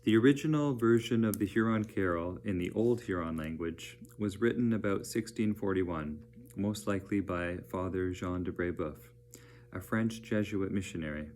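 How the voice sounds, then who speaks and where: A man reading educational narration aloud as a teacher, in a measured, plain-spoken manner. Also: deep voice, a little mellow, slight background noise.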